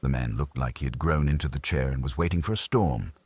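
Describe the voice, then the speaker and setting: A man reading a first-person detective story as scripted audiobook narration, in a husky voice. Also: extremely low pitch, brisk, no room sound.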